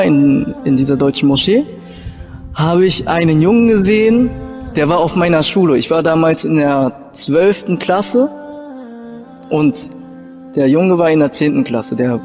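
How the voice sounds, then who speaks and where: A young man from Japan speaks in a slightly high-pitched voice over background music. He tells his personal story in an informal way, pausing and restarting as he searches for his words. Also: darkish tone. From Japan and Germany. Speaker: convert telling his story.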